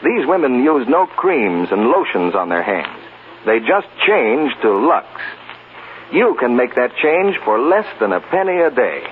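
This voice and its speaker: A man's voice: mid-range voice, big pitch swings, measured pace. An American man announcing in a scripted 1940s radio advertising style, polished and emphatic like a salesman. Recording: tinny, faintly noisy 1940s radio recording.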